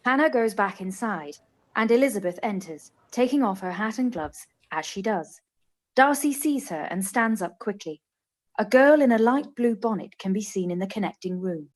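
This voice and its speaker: A woman's voice: medium pitch, animated, moderate pace. A woman reading scripted scene narration for a radio play.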